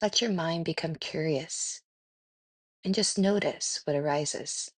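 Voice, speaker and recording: A woman leading a guided meditation in a gentle, soothing, unhurried way with pauses. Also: low-pitched, dry recording.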